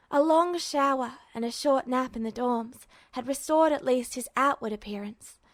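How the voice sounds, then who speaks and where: A woman with a fairly high voice narrating a story in a steady, storytelling manner. The recording is close and dry with no room sound. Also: dry recording. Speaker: podfic reader. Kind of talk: scripted fiction narration.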